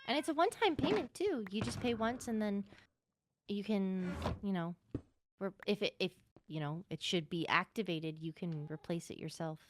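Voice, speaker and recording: A woman's voice: medium pitch, expressive, moderate pace, noisy background. A woman improvising casual in-character conversation as a player in a game roleplay.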